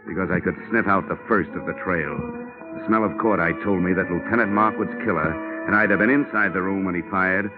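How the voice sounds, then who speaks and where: A man playing a deep-voiced wisecracking investigator. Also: fairly even intonation, fairly quick, over music, close to an everyday voice. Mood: dry. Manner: dry, wisecracking. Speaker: narrator and lead. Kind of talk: 1950s radio drama acting. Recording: tinny 1950s radio broadcast.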